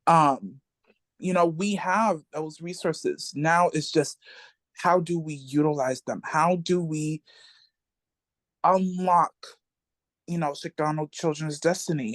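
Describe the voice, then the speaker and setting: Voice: mid-range voice, dry recording. A speaker in a reading group discussion who talks earnestly and repeats key phrases. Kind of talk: casual discussion.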